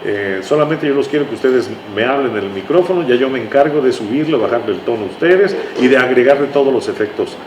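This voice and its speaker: A man's voice: mid-range voice, animated, fast talker, hardly any pauses. Mexican man reminiscing, echoey noisy room. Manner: talkative, anecdotal, with frequent tag questions. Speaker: interview guest, writer recalling his radio work. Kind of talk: conversational reminiscing.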